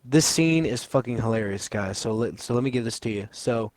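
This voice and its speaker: A man's voice: mid-range voice, lively intonation, a little fast, darkish tone, no room sound. Brash teenage guy reviewing movies. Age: teens. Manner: brash, swearing, rambling with fillers. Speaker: co-host. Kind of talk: casual movie review chat.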